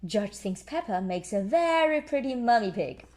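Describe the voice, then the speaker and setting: A woman narrating a children's storybook aloud as a storyteller, in an animated read-aloud style. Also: a little high, normal speed.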